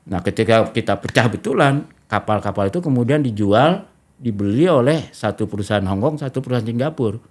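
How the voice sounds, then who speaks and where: A man's voice: mid-range voice, animated, fairly quick, a little mellow. A middle-aged man, talking casually as a talk show host and explaining step by step. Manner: discursive, explains step by step with history and examples.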